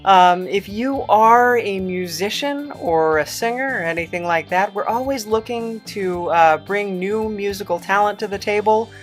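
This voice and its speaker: Female podcast host: medium pitch, informal, chatty, somewhat hesitant, faint music underneath. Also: moderate pace, hardly any pauses. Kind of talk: casual chat to listeners.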